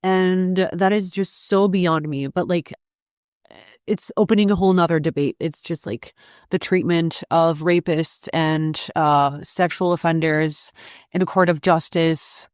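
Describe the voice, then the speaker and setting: A young woman whose first language is French, speaking as a podcast guest in a candid, hesitant way with many pauses and fillers. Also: lowish voice, steady tone, a little mellow, no room sound, clean studio sound.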